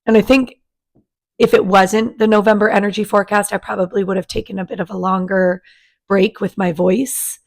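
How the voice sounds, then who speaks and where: A woman, raspy after a sinus virus, giving a casual spiritual energy forecast to her channel in a gentle, chatty way. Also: mid-range voice. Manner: gentle, chatty, with many fillers and restarts. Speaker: spiritual coach and channel host.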